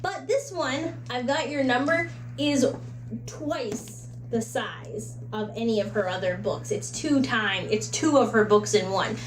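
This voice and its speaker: A woman with a high-pitched voice talks casually, like a vlogger recommending books to viewers. Her delivery is conversational, with small acted-out asides. The room adds noticeable echo, and a faint hum sits behind her. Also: unhurried. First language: English. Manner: conversational, acts out little imagined quotes and asides. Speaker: book reviewer giving recommendations. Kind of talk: casual, chatty video book recommendations.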